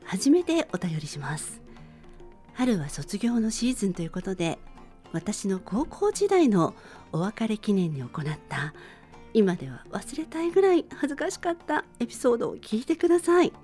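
A woman radio host speaking politely and gently to her listeners in a relaxed bedtime show style. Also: mid-range voice, moderate pace, soft background music.

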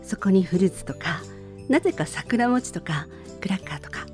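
A middle-aged woman hosting a radio show, speaking politely in a gentle solo talk with personal stories. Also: mid-range voice, animated, normal speed. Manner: polite, formal phrasing with personal anecdotes. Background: faint music.

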